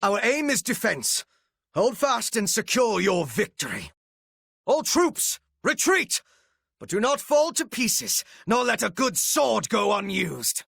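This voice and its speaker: An actor playing a cold, menacing and devoted retainer and strategist in a video game, with formal, archaic, theatrical diction and a raspy voice. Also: male voice, high voice, big pitch swings, slow pace, fairly bright tone, dry recording, studio quality, exaggerated voice. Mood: rousing battle command.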